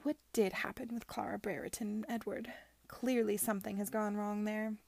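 A scornful young gentlewoman of a period storybook, as a woman reader plays her. She is moody, scheming and used to giving orders. The tone is dark and husky, recorded very dry, with a cutting disdain. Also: medium pitch, flat delivery, normal speed, no echo. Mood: pressing. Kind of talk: audiobook character dialogue.

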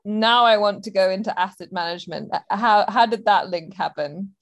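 A woman's voice: mid-range voice, moderate pace, gravelly. A woman from Hong Kong hosting an interview, asking curious, upbeat questions. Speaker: podcast host.

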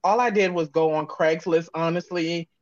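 A woman's voice: on the low side, steady tone, moderate pace, a little mellow, no echo, highs cut off. A woman with an American accent chatting casually as an interview guest, talking informally in loose, run-on thoughts. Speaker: guest, audio drama creator and sound designer.

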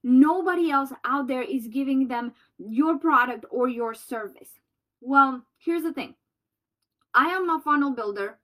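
A woman with a Colombian accent hosting a solo business podcast, bubbly and loud. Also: sits high. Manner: bubbly, loud and fast-talking. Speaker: podcast host.

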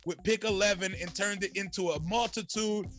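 A slightly hoarse man, a guest content creator, talking energetically and casually on a podcast. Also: high-pitched, loud music behind the voice. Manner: energetic, slangy, fast-flowing.

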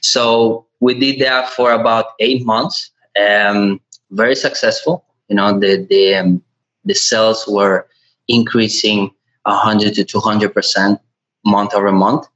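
A man from Latvia gives conversational interview answers as a podcast guest, haltingly and often repeating words. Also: fairly low pitch, steady tone, a bit bright. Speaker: podcast guest, e-commerce entrepreneur. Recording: dull, lo-fi audio.

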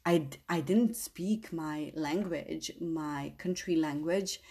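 Slow-talking woman host, English. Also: deep voice, mellow, slightly rough. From Slovenia. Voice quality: non-native English phrasing. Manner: earnest, rambling, tag questions. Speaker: podcast host and coach. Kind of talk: casual motivational monologue.

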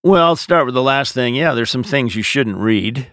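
A man's voice: medium pitch, expressive, moderate pace, fairly bright tone, no room sound, studio quality. A middle-aged or older man, a pastor, giving conversational advice on a podcast. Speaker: pastor answering listener questions.

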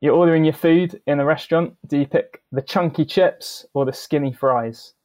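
A man hosting a podcast interview over a video call, relaxed and a little hesitant, with fillers. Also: fairly high pitch, moderate pace. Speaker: podcast host. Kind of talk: friendly interview.

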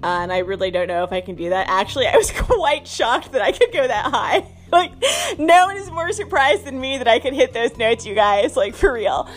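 A woman's voice: a little high, animated, moderate pace, faint music behind. A woman hosting a solo podcast in a casual, rambling stream of consciousness, playful and self-deprecating. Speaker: podcast host.

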